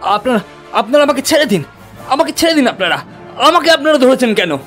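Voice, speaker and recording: A man's voice: extremely high pitch, sing-song, fairly quick, fairly bright tone, soft background music, exaggerated voice. A man playing a cornered thief disguised as a frail old woman in an audio drama, pleading and protesting repetitively. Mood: panicked pleading. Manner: pleading, protesting, repeating himself.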